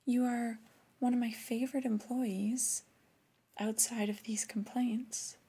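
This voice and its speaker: Breathy, soft-spoken flirty female boss, acted by a woman. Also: a little high, fairly even intonation. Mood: coaxing, reluctant. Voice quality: breathy, often whispery. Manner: slow, deliberate, intimate reprimanding. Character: flirty, disappointed female store boss scolding an employee. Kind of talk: soft-spoken ASMR roleplay.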